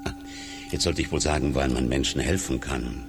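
An actor playing a blunt, hardened surgeon in a radio drama, wry and matter-of-fact. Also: male voice, rumbling low, moderate pace, big-room sound, over music. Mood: detached, reflective. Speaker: surgeon and hospital doctor.

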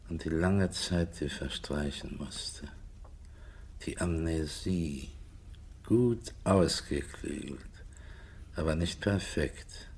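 An actor playing a brooding, sceptical investigator in a German radio crime drama, deliberate and terse in his delivery. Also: deep voice, darkish tone, heavily acted. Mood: pondering. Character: brooding, sceptical male investigator, calm and stubborn.

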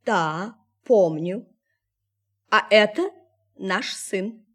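An actress playing a placid, gracious settler's wife in a radio play, speaking politely and deliberately. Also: female voice, medium pitch, fairly bright tone, husky, exaggerated voice. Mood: serene, polite. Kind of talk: Russian radio play acting.

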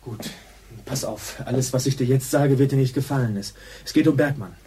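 A man's voice: mid-range voice, brisk, a little hiss or hum, close to an everyday voice. Urgent, clipped actor as male police colleague. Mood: hushed, urgent. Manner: insistent, hushed, clipped. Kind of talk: radio drama acting.

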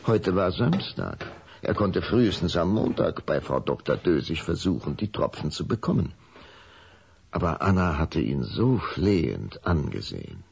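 A man narrating a German children's radio play as a measured, suspenseful storyteller. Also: low-pitched, very animated, creaky, darkish tone, husky, exaggerated voice.

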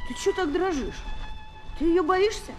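A woman's voice: very high-pitched, lively intonation, unhurried. An actress playing a cheeky, brave, swaggering Parisian street boy in a Soviet children's radio play. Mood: gruffly kind. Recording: 1970s flexi-disc, loud music behind.

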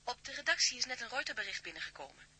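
Sharp, bright, high newsroom woman. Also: very animated, racing, slightly rough, heavily acted. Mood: urgent. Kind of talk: radio drama acting.

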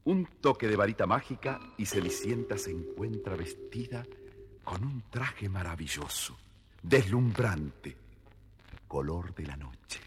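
A man's voice: deep voice, husky. A man narrating a scripted fairy tale expressively for young listeners. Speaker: storyteller, narrator. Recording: vintage story record with music behind.

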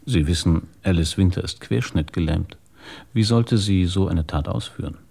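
An actor playing a weary, probing police inspector in a German radio crime drama, terse and matter-of-fact. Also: male voice, low voice, normal speed, vocal fry, darkish tone, breathy edge, full character voice. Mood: probing, calm.

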